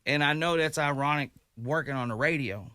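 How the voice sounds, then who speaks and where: Chill radio host dude, measured, dry booth sound. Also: male voice, fairly high pitch, no echo. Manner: calm, measured, reflective. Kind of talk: radio interview. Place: radio studio.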